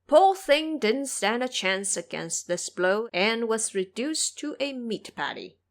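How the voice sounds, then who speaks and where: A woman's voice: on the high side, very animated, takes it slowly. A woman narrating a scripted audio drama as a dramatic storyteller.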